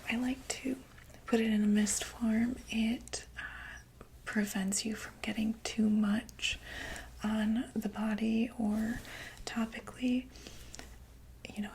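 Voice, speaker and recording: A woman whispering an ASMR medical roleplay as a doctor, gently reassuring and checking in with the listener. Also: fairly high pitch, vocal fry, poor audio.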